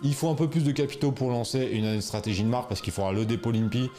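Male coach, informal and slangy, mid pitch, faint music bed. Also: fast, warm tone. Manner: informal, slangy, many fillers and restarts. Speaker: e-commerce coach. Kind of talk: casual explainer video.

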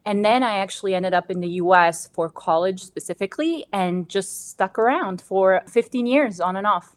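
A woman in her thirties, originally from Bosnia, answering interview questions in a measured, reflective way. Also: mid-range voice. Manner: measured, reflective, frequent "to be honest" and "actually". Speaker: guest.

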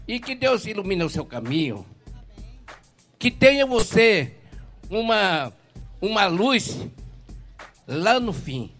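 A man's voice: high voice, fairly bright tone. Earnest older man in his seventies. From Mato Grosso do Sul, Brazil. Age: 70s. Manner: earnest, rambling, blessing-heavy. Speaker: herbal medicine vendor. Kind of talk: emotional street testimony.

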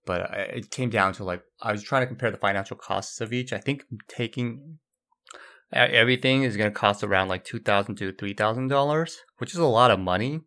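A man's voice: lowish voice, a little fast, bright, forceful tone. A young man from Brazil hosting a solo podcast, rambling casually with many fillers and restarts. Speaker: podcast host.

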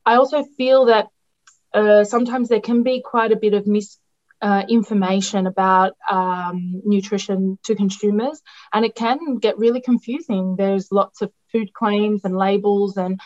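A woman's voice: medium pitch, steady tone, measured pace, darkish tone. A woman who is a dietitian, her Greek, answering interview questions with many ums, restarts and hesitations. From Australia. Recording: dull lo-fi sound.

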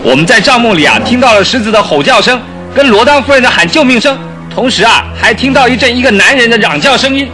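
An actor playing an excitable male circus performer in a radio drama, giving hurried, emphatic testimony. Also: very high voice. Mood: excited. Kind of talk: Mandarin radio drama acting. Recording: music under the voice.

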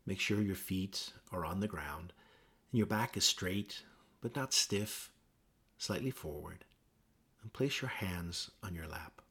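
A man gently leading a guided meditation with measured instructions and pauses. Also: sits low, breathy edge.

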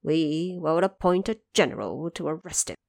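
A woman voicing a solemn male ruler of the heavens, measured and commanding, in an audio drama. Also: deep voice, animated, creaky, a little mellow, no room sound.

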